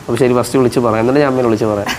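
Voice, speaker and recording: Man, quick pace, playful teasing, insistent repeated questions, casual prank chat, clear music behind. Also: mid-range voice, fairly even intonation. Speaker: prank video host.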